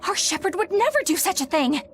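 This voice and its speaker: High-voiced, prim young knight. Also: female voice, sing-song, a little fast, slight background noise, full character voice. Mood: flustered. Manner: formal, earnest, prim. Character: proper, idealistic young woman knight. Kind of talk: English video game dub acting.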